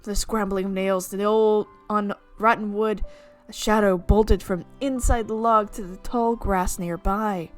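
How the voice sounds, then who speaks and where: A woman streamer reads a visual novel's narration aloud with an expressive, playful delivery. Her pitch sits in the middle, and faint game music plays behind her. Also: a little slow, hardly any pauses. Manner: expressive reading with playful reactions.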